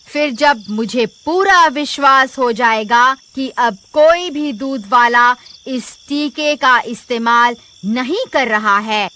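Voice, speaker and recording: Woman as a stern, slow-spoken vengeful witch. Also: fairly high pitch, sharp tone. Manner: menacing, commanding, declamatory. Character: vengeful female witch spirit, stern judge of the greedy. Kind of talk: melodramatic cartoon horror acting.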